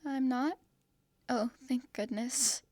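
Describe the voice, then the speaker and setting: A woman's voice: high-pitched, steady tone, slow pace, a little mellow, dry recording, studio quality. A woman voicing a timid, apologetic young girl who stammers nervously. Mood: relieved. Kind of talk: fantasy audio roleplay.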